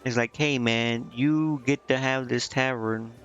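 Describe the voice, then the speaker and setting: A man giving casual game commentary as a streamer, rambling and thinking out loud. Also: medium pitch, steady tone, measured pace, faint music behind. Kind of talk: casual gameplay commentary, reading game dialogue aloud. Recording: muffled mic with clear background noise.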